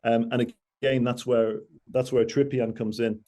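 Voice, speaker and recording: A man's voice: medium pitch, little pitch movement, moderate pace, dark tone. A man from Manchester with a Mancunian accent, giving a rambling, conversational talk full of asides and self-corrections. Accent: Mancunian English. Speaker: guest speaker. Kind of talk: conversational presentation over video call.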